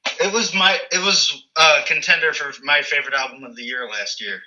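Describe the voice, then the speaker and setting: Very bright-voiced easygoing man. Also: on the high side, fairly quick, rough recording. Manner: easygoing, stammers on starts. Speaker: guest. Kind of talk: casual chat.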